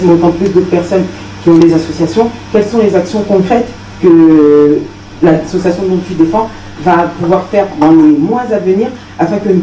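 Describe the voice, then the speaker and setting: A woman journalist from France asks a polite, measured question. Her voice is low, warm and mellow. She is picked up from far off in an echoey room, with a faint murmur of ambience behind her. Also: a little hiss or hum. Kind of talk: formal audience question.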